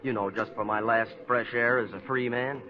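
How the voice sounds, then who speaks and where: An actor playing an earnest young prisoner in a 1940s radio drama. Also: male voice, medium pitch, fairly even intonation, normal speed, lightly acted. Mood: resigned, wry. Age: young adult. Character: earnest young man, a handcuffed prisoner protesting innocence. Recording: dull lo-fi 1940s radio recording.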